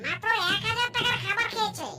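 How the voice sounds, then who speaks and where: An actor as a high-voiced, demanding cartoon food seller. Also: quick pace. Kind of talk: cartoon voice acting.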